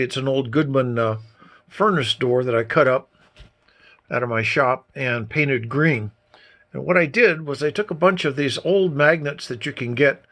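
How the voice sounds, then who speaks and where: A man's voice: mid-range voice, a bit bright. A man giving a casual, folksy hands-on demonstration as a hobbyist presenter, explaining things informally. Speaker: amateur radio hobbyist presenter.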